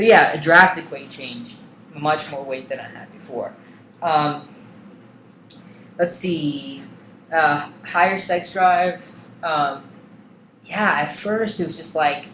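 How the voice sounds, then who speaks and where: A man's voice: fairly high pitch, fairly bright tone. A young man talking casually and candidly to camera, hesitant with many ums and likes. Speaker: vlogger. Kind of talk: casual personal vlog. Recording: echoey room, faint background hum.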